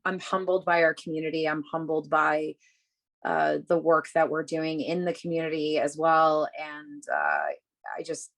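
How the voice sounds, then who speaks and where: A woman's voice: low voice, expressive, moderate pace, fairly bright tone. A woman in her thirties from Massachusetts, a bookstore owner answering interview questions on a video call in a casual, upbeat way with many fillers. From Massachusetts, USA. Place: home room.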